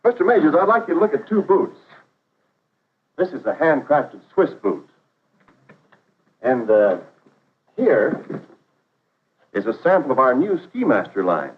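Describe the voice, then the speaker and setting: A man's voice: fairly high pitch, very animated, a little slow, long pauses, slight background noise. An actor playing an earnest, polite, persuasive travelling salesman in a 1950s training film, with courteous, confident patter. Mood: eager pitching. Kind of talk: 1950s instructional film acting. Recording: dull vintage 1950s film soundtrack.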